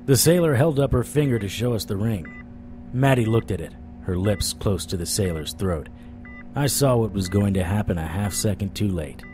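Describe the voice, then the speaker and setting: A man's voice: fairly low pitch, animated, normal speed, lightly acted. An actor playing a sardonic vampire-hunting private eye, telling his story in a dramatic noir audio drama style, over music. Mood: grim, urgent. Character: hard-boiled, wisecracking male vampire-hunting private eye. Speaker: narrator and lead actor. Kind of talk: first-person noir audio drama narration. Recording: music bed under the voice.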